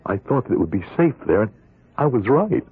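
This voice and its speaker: An actor playing a bitter, desperate middle-aged ex-convict crook in an old radio drama, with a husky voice. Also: male voice, medium pitch, very animated, a little fast, no echo. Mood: urgent, haunted. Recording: muffled, narrow-band old radio recording.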